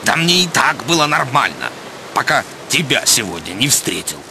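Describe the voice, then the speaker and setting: A man playing a grumpy, skeptical old animal in a children's cartoon, gruff and down-to-earth. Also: mid-range voice, big pitch swings, normal speed, heavy background noise. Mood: grumbling.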